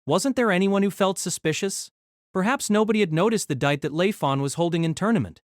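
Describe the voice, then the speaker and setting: A man reading a fantasy novel aloud as narrator, in a steady storytelling manner. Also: sits high, fairly quick, no echo, studio quality.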